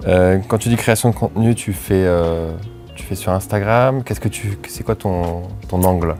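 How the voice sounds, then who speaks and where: Homme à la voix très grave. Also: male voice, sits low, normal speed, dark tone, faint music behind. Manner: friendly, short questions with fillers. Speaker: interviewer. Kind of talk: casual interview questions.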